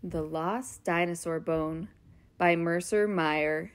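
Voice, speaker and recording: A woman reading a children's picture book aloud in a gentle, expressive, child-friendly way. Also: on the low side, slow pace, over loud music. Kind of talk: storybook read-aloud.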